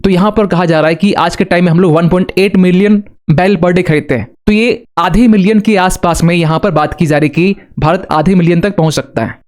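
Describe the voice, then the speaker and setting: A man's voice: sits high, darkish tone. A man presenting explanatory current affairs commentary in a didactic way, mixing in English terms. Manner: didactic, addresses viewers with rhetorical questions.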